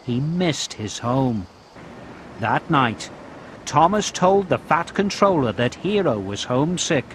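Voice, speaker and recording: A man narrating a children's story in an expressive, simple way, with short prompts addressed to young listeners. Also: fairly high pitch, slow pace, slight background noise.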